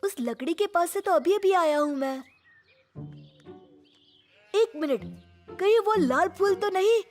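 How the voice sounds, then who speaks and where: A bewildered little boy in a cartoon, high in pitch and unhurried. The child sounds lost and unsure. Faint music sits behind the voice. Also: lively intonation, darkish tone. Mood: confused, worried. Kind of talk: animated children's cartoon dub.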